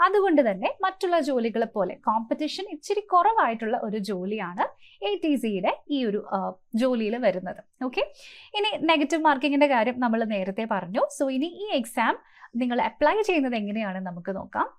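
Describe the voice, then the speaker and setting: A woman speaks in a somewhat high-pitched voice. She explains things step by step as a coaching presenter would, in an instructive way. English terms are mixed freely into her sentences. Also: sing-song, fairly quick. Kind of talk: explanatory presentation to camera for exam aspirants.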